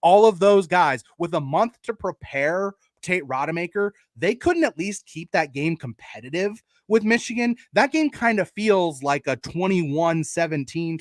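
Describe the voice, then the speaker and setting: Emphatic man with a higher-pitched voice. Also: no echo, clean studio sound. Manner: emphatic, argumentative, casually profane. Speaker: sports podcast co-host. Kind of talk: opinionated college football debate.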